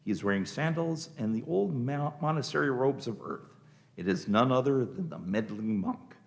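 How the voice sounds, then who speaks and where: A man's voice: medium pitch, normal speed, vocal fry. A man reading scripted narration as the narrator of a radio play.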